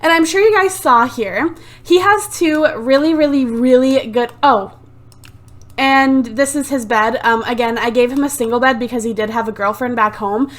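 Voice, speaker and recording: A woman's voice: on the high side, normal speed, a bit bright. A woman giving casual, rambling commentary over a life-sim game on a desktop USB mic. She is sick with a sore throat, sounds a little phlegmy, and fills her talk with "like" and "um". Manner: chatty and rambling, lots of "like" and "um". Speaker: let's play host. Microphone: desktop USB microphone.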